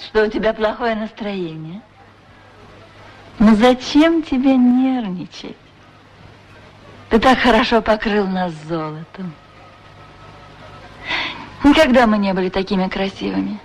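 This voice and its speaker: An actress voicing a talking pair of golden sandals, gentle and curious, in a radio play. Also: female voice, mid-range voice, measured pace, pauses often, lightly acted. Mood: grateful, soothing. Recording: noisy 1960s radio recording.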